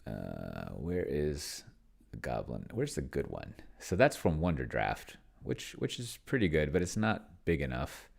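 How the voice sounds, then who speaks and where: A man's voice: very deep voice, big pitch swings, a little slow, creaky, husky. A man giving a casual, unscripted commentary on his own tabletop game session, rambling and hesitant as he thinks aloud and trails off mid-thought. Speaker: solo tabletop roleplayer and host, narrating own play session.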